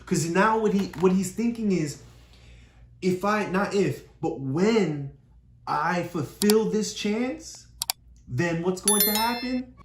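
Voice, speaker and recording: A woman's voice: medium pitch, lively intonation, slow, slight background noise. A woman talking through a picture book with a child in a patient, explaining way, often repeating key phrases. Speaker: parent reading with a child. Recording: echoey room.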